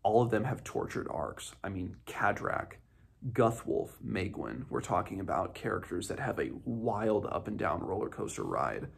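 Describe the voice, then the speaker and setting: A man in his twenties giving a casual, unscripted book discussion, halting and thinking aloud as he goes. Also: fairly low pitch, animated, gravelly, husky. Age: 20s. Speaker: book reviewer, host of a read-along. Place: small home room, indoors.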